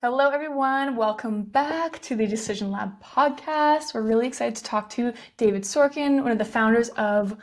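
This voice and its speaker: A woman's voice: on the high side, moderate pace, hardly any pauses. A woman co-hosting a podcast in a conversational interview style. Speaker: podcast co-host.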